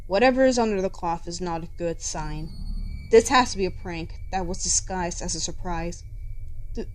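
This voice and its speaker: A woman's voice: lowish voice, normal speed, a little hiss or hum, poor audio. A woman storyteller reading scripted fan fiction as dramatic narration.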